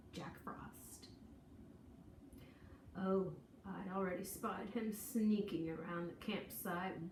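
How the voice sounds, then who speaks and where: A woman reading a children's picture book aloud in an expressive, folksy, dramatic storytelling manner. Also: mid-range voice. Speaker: storybook reader. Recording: echoey room, distant mic, faint noise.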